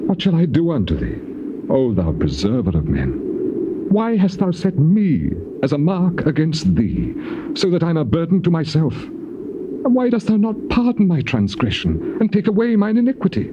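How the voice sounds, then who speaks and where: A man's voice: a little high, huge pitch swings, normal speed. An actor playing an afflicted, despairing man who pleads bitterly in declamatory, archaic scripture drama. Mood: anguished. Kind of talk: dramatized scripture reading, stage-trained acting. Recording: noisy, muffled old cassette tape.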